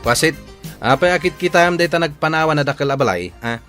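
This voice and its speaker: A man's voice: a little high, fast talker, music in the background, lightly acted. An actor with a Filipino accent playing a brash, teasing young village man in an audio drama, taunting and exclamatory. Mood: boastful, mocking. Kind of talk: Ilocano audio drama acting.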